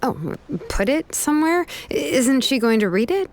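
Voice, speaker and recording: A woman's voice: sits high, very animated, moderate pace, a little mellow, a little hiss or hum. A woman playing a cool, shrewd and determined young woman in a video game, probing and firm in manner. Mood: casual, probing.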